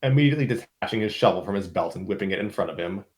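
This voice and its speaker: A man's voice: lowish voice, expressive, racing. A man narrating a story in a dramatic read-aloud, as one performer in an informal group reading. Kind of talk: dramatic reading of fan fiction with slips and asides.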